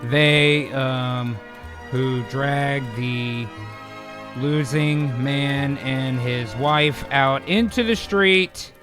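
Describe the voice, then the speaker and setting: A man's voice: medium pitch, very slow, music in the background. A man hosting and narrating an improvised comedy screenplay in a casual, chatty way. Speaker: host, writer and narrator.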